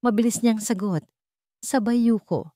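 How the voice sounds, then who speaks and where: A woman's voice: fairly high pitch, normal speed, warm tone, dry recording, clean studio sound. A woman reading scripted dramatic narration of a romance story.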